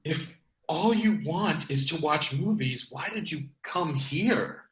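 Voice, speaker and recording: A man's voice: fairly high pitch, lively intonation, slow, a bit bright. A man playing a wary, exasperated sidekick in a comedy script read. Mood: skeptical. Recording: roomy, echoing sound.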